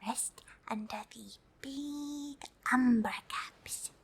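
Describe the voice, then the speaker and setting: A woman plays a cute, friendly alien girl in an ASMR roleplay. The voice is a breathy whisper, high and very slow. It sounds gentle and curious, explaining things with careful, slightly hesitant wording. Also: full character voice. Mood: gently curious. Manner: gentle, hesitant, third-person speech with careful scientific wording. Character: cute, curious, friendly pink female alien explaining human summer tips. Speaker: host.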